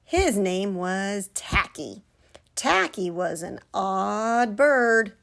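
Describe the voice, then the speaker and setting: A woman reading a children's storybook aloud like a kindergarten teacher, expressively, pausing to put questions to young listeners. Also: medium pitch, animated, creaky, fairly bright tone.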